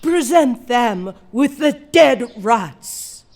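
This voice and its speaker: Woman acting a slow, solemn cat village leader. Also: a little high, expressive, darkish tone, exaggerated voice. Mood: ceremonial, proud. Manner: ceremonial, formal, deliberate. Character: solemn, stern female cat leading a village commune. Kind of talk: audio drama acting.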